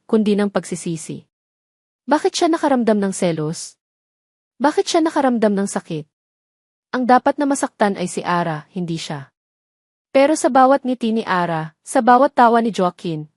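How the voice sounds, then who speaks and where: A woman's voice: mid-range voice, darkish tone, dry recording, clean studio sound. A woman reading a scripted story as the narrator of an audio drama.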